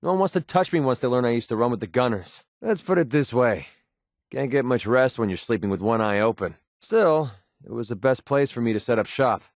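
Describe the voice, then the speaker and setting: A man's voice: mid-range voice, no room sound, studio quality, lightly acted. An American man playing a cynical, wisecracking young mercenary in a video game, with a casual, sarcastic, slangy delivery. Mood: guarded. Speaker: video game companion character.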